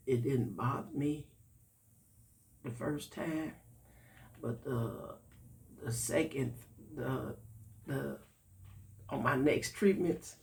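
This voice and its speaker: A man, congested and a bit raspy, chatting folksily with viewers in a product-review vlog. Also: medium pitch. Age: 60s.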